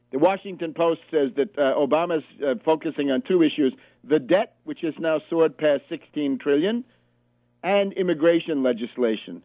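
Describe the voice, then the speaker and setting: Male radio host ranting about politics, kinda high voice, phone-line tinny, dry. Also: no room sound. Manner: emphatic, reads quotes aloud and comments sharply; hesitant fillers between points. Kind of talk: political commentary radio show.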